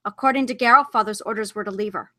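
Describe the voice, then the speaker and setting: A woman's voice: medium pitch, fast, sharp tone, lightly acted. Woman doing a weary warrior with an accent. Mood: grim. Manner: stern, with a put-on accent. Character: grim young warrior lord, weary and cautious.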